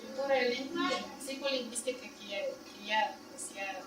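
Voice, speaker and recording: A woman's voice: fairly high pitch, moderate pace. A woman lecturing non-native, in an echoey room. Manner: explains in plain steps, checks listeners with "¿no?" and "¿sí?"; Spanish as a second language. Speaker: seminar lecturer. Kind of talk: university seminar lecture. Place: seminar room. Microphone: distant room mic. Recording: echoey room, far mic, loud noise.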